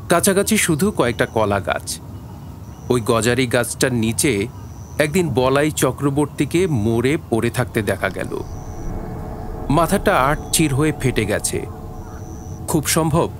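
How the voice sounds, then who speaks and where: A man's voice: medium pitch, slight background noise, close to an everyday voice. A man narrating a literary village mystery as the storyteller of an audio drama.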